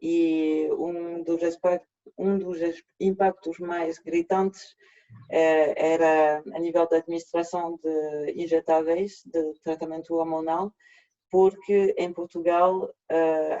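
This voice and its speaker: A man with a French accent, native French speaker, presenting an online workshop in a halting way with frequent restarts, over a video call. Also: a little high, flat delivery, dark tone. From France. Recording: dull lo-fi video call audio.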